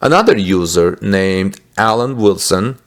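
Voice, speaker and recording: A man presenting business news, reading from a script with asides and sometimes halting over his words. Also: fairly low pitch, animated. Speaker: host reporting business news.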